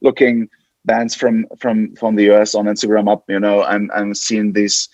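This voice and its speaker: A man's voice: on the low side, completely flat delivery, normal speed, a little mellow, no room sound. A man with a German accent, a musician chatting casually as an interview guest on a dry, slightly dull recording. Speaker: metal band guitarist, podcast guest. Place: indoors on a couch, remote interview.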